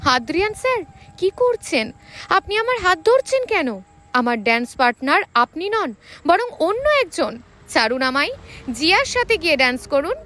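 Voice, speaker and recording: A woman's voice: very high-pitched, big pitch swings, normal speed, a bit bright, full character voice. A woman voicing a stubborn, annoyed young woman who questions indignantly in an audio storybook.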